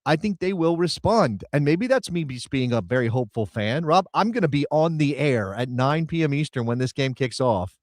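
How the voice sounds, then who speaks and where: A man co-hosting a casual soccer talk show, emphatic and animated, often repeating words as he builds a point. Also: on the high side, normal speed, few pauses, no room sound, clean studio sound. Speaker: co-host and radio broadcaster.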